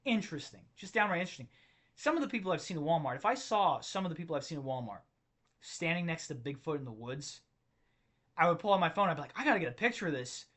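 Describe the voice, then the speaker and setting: A man with a slightly high, bright, forceful voice rambles through a comedy podcast, tripping over his own sentences as he gets worked up. His voice sounds tired and strained from overuse. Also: quick pace. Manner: rambling, self-interrupting, excitable. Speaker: podcast host. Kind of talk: solo comedic rant podcast.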